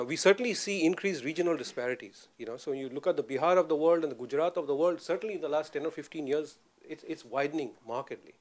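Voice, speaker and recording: A man commenting from the audience at a panel discussion, thoughtful and measured with frequent pauses. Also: a little high, a little mellow. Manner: thoughtful, measured, many fillers. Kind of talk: public Q&A remark.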